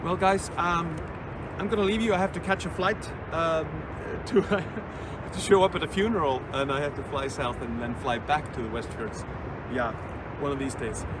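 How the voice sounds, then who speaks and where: A man from Iceland with an Icelandic accent, telling jokes in a playful, deadpan way as a troubadour. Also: a little high, moderate pace, background noise. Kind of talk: comic storytelling.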